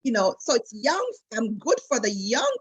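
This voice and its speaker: A woman in her late forties with a Jamaican accent, a wedding travel specialist chatting as an interview guest in a lively storytelling way, with many fillers and restarts. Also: fairly high pitch, normal speed, slightly rough, dull audio. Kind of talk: casual interview chat.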